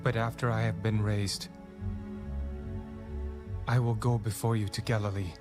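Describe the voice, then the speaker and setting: A man playing a solemn, gentle, sorrowful holy teacher in a dramatized audio Bible, speaking deliberately. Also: lowish voice, flat delivery, natural voice. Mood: grave. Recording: music behind the voice. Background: music.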